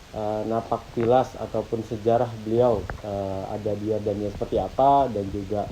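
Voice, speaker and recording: A man's voice: on the low side, warm tone, slight background noise, low-quality recording. A man opening an online talk as host, speaking formally with many hesitant fillers.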